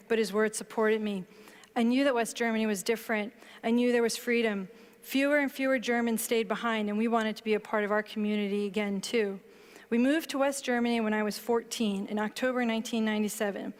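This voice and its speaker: A woman in her thirties, a book author on a panel, explaining her work in a measured way and reading aloud from it on stage. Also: a little high. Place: large hall stage before an audience. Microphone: headset mic. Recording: long hall reverb.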